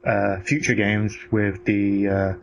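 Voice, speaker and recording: A man's voice: on the low side, flat delivery, slow pace, creaky, highs cut off. A man chatting casually and giving blunt opinions in a sweary, ranting way. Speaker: podcast co-host. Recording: noticeable background noise.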